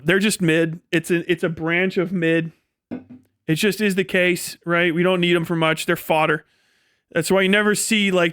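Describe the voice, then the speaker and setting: A man's voice: fairly high pitch, a bit bright, clear, resonant tone, no room sound, studio quality. A man livestreaming casual, opinionated talk, blunt and profane, rambling through explanations that mix formal vocabulary with slang. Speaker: live streamer.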